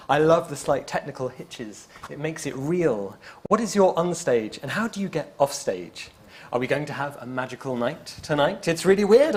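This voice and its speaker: Man reading a playful poem. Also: fairly high pitch. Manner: playful, lively reading with laughs. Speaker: event poet.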